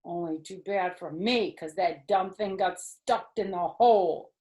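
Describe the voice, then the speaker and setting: A woman voicing an excitable, mischievous little girl who tells her story in dramatic childlike speech from a storybook. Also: fairly low pitch, a little slow, close to an everyday voice. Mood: alarmed. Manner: dramatic, childlike grammar, blunt and eager. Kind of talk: expressive children's storybook reading.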